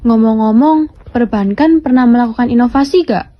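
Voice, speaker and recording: A woman's voice: a little high, normal speed, lo-fi sound. A teenage young woman with an Indonesian accent, co-hosting a student podcast with scripted explanations and chatty links.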